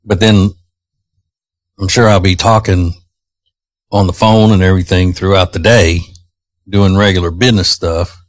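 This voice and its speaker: A man's voice: low voice, steady tone, moderate pace, pauses often, dry recording. A male radio host chatting casually on a morning show, his voice slightly strained. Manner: chatty, teasing, rambling. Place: radio studio. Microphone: studio microphone.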